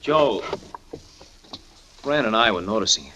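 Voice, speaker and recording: A cocky young trapeze man, bright and bouncy in pitch, ribbing his pal with easy big-brother warmth. Old radio drama with a faint hiss behind. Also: sing-song, unhurried, dull audio, exaggerated voice. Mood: concerned. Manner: breezy, teasing, big-brotherly. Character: cocky, genial young male trapeze partner. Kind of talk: 1940s radio acting.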